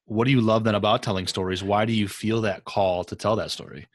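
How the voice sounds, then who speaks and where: A man's voice: lowish voice, fairly even intonation, fairly quick, no room sound, clean studio sound. A man hosting a podcast interview in a casual, conversational style. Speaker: podcast host.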